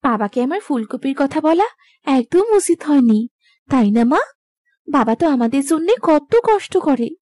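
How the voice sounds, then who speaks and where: A woman's voice: high-pitched, expressive, a little slow, darkish tone, no echo, clean studio sound. A woman voicing a sweet, caring young village daughter in a moral-story cartoon, girlish and earnest. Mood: eager, regretful.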